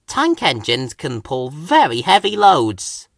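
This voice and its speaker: A man's voice: fairly high pitch, huge pitch swings, slow pace, creaky, fairly bright tone, dry recording, studio quality, exaggerated voice. A man voicing a proud, stubborn, easily huffy little tank engine in a children's storybook. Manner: huffy, puffing, emphatic.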